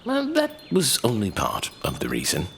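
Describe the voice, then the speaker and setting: An actor playing an elder mentor in a fantasy audio drama, telling dark war history in a breathy, theatrical way. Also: male voice, lowish voice, wildly swooping pitch, normal speed, slight background noise, heavily acted. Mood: explanatory.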